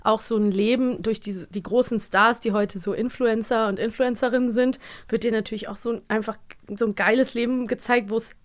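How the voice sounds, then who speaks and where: A woman's voice: fairly high pitch, fairly even intonation, fairly quick. Chatty woman co-hosting a podcast. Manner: chatty, casual slang, frequent hesitation fillers. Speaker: podcast co-host. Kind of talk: casual podcast chat.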